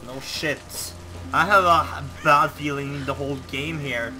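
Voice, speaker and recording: Excitable young Norwegian man gaming. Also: on the high side, measured pace. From Norway. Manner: excitable, swearing, reacting loudly to gameplay. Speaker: let's play commentator. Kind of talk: casual gameplay commentary. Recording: game sound behind, rain noise.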